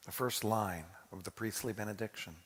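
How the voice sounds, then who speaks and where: A man's voice: lowish voice, brisk. A man speaking informally as a rabbi to his congregation in a large sanctuary. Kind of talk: informal address to a congregation, taking questions. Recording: echoey hall with long reverb.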